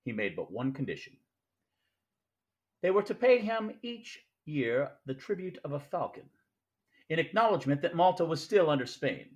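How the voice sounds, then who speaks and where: Guy doing a scheming fat crime lord, older, flowery and polite, very lively pitch, old radio play style. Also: moderate pace. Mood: courteous, expansive. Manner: grandiloquent, over-polite, theatrical delivery. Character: jovial, pompous, scheming fat crime boss, an older gentleman. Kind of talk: 1940s radio play acting.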